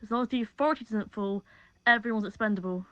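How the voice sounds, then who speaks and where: A woman's voice: on the high side, fast, sharp tone, dry recording, dull audio. A woman playing a grim, wary police chief in a dystopian audio drama.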